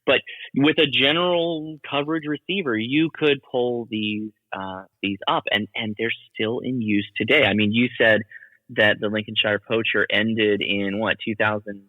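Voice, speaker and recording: Thin phone-like audio with reverb, man, mid-pitched, casual explanatory chat, podcast guest. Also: fairly even intonation, normal speed. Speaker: podcast guest, ham radio enthusiast.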